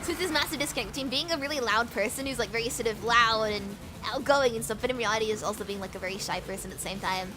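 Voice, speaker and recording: Bubbly high-pitched young woman streamer. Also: very fast, hardly any pauses, music in the background. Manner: bubbly, giggly and rambling, repeating words; switches between British and American accents. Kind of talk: casual chat while gaming.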